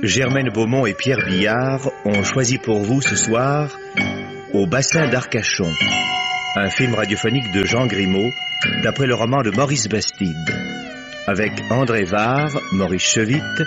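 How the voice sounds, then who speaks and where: A male radio announcer reading the credits of a 1950s drama in a formal, measured way. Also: lowish voice. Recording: dull old radio broadcast over loud music.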